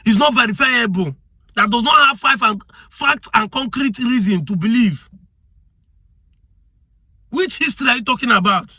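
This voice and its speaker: A man's voice: very high voice, pushed and bright, breathy edge, no echo. A man with a Nigerian accent giving impassioned commentary, arguing hard and firing off rhetorical questions. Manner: argumentative, fires rhetorical questions.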